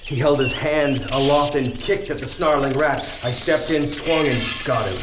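A man's voice: medium pitch, normal speed, natural voice. An actor playing a grim, haunted lighthouse keeper, telling his story with dramatic suspense in an audio horror drama. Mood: urgent, alarmed. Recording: echoey, noisy radio play sound.

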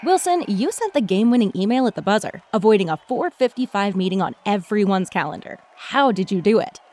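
A woman's voice: mid-range voice, animated, fairly quick, darkish tone, slight background noise. A woman announcer delivering a scripted commercial.

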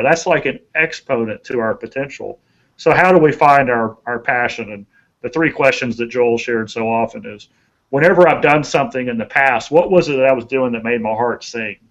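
A man's voice: mid-range voice, moderate pace, fairly bright tone, low-quality recording. A man giving conversational motivational advice as a podcast co-host, in a folksy, earnest way, repeating phrases for emphasis.

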